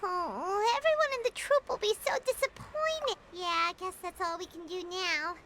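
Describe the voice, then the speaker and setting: A woman's voice: very high voice, animated, normal speed, fairly bright tone, slight background noise. A woman voicing a tiny childlike fairy companion in an anime game, chatty and blunt. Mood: disappointed, resigned. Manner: childlike, refers to self in third person. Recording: game music behind the voice.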